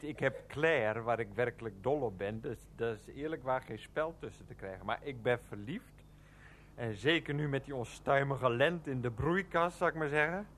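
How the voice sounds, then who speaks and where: An actor playing an earnest, lovelorn, hesitant son in a Dutch radio play, speaking haltingly as if to himself. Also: male voice, fairly high pitch, a bit bright, slight background noise. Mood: confessional.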